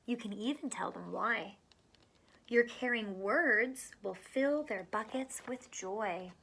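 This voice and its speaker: Lady teacher doing a kids read-aloud, bright higher voice, encouraging, chats to the kids as she goes. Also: takes it slowly, vocal fry, slightly rough. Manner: encouraging, addresses child listeners with questions. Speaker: primary school teacher reading aloud. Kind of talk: children's picture book read-aloud with asides.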